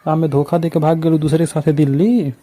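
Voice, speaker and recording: A man's voice: fairly high pitch, little pitch movement, fast talker, mellow. A man with a rural Bhojpuri accent talking insistently and boastfully in a casual phone call. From rural Bhojpuri-speaking north India. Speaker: caller. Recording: dull muffled phone call recording.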